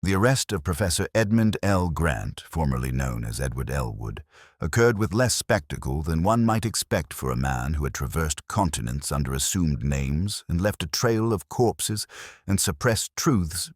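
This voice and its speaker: A man's voice: a bass voice, no room sound, studio quality. A man reading a detective story aloud as scripted audiobook narration.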